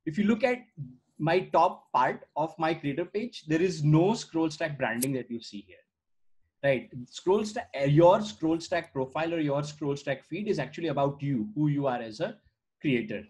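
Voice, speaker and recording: Man demoing software over a call. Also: fairly high pitch, expressive, normal speed, slightly rough. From India. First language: Gujarati. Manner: conversational, many "uh" fillers and "right?" tags. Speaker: startup founder giving a product demo. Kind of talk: screen-share product walkthrough. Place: indoor room on a video call. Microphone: video call webcam mic.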